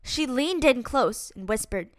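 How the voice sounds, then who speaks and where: A woman reading a children's picture book aloud as a storyteller, in an expressive, deliberate and dramatic way. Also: high voice, no echo, clean studio sound. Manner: deliberate, dramatic delivery with acted-out exclamations. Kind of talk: expressive read-aloud storytime narration for kids.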